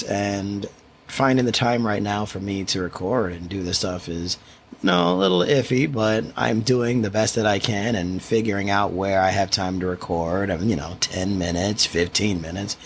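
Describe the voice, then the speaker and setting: Quiet low-voiced man musing aloud. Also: a little hiss or hum. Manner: reflective, rambling with restarts. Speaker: podcast host. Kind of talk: solo podcast monologue. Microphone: studio microphone.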